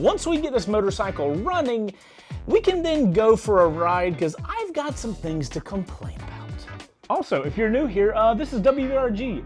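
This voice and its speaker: A young man, hosting his motorcycle vlog in a casual, wry, complaining way. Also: sits high. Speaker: motorcycle vlogger, show host. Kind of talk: casual chatty vlog narration. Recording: background music under the voice.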